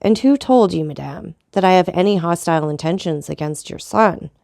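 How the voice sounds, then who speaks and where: A woman reading an audiobook voices a vengeful middle-aged nobleman who speaks with bitter, measured calm. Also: sits high, vocal fry, no room sound, studio quality. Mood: icy calm. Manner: bitter, measured, coldly ironic.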